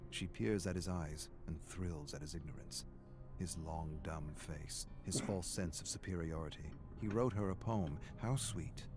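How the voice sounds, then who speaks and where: An actor playing a grim, weary archivist in a video game, narrating dark tales in an ominous, deliberate manner. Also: male voice, low-pitched, natural voice. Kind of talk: scripted dramatic storytelling narration. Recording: music behind the voice.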